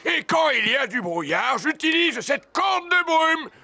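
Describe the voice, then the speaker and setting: A man voicing a jolly, boastful old grandpa rabbit in a French cartoon dub, loud and full of tall tales. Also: very high-pitched, big pitch swings, a little slow, gravelly, noisy background, exaggerated voice. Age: older. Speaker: gym teacher, lighthouse keeper. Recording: music bed under the dialogue.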